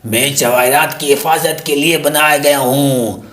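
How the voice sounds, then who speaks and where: A stern, threatening cartoon villain acted by a man guards his treasure. He speaks slowly and boastfully, with a clear echo around the voice. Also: fairly high pitch, fairly even intonation, a bit bright. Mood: angry, boastful.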